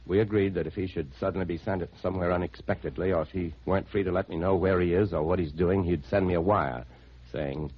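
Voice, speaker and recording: Worried, insistent brother and narrator: very low American voice, earnest 1950s radio drama, muffled old recording. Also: male voice, fairly even intonation, quick pace, husky. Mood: grim, anxious. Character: worried, insistent man searching for his missing brother, narrating. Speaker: lead actor and first-person narrator.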